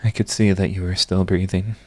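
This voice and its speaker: A man's voice: sits low, flat delivery, brisk, a little mellow, no room sound. A man playing a proud villain who is secretly devoted to his rival, in an intimate, emotional audio roleplay. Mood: shaken, grave. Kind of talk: dramatic ASMR audio roleplay.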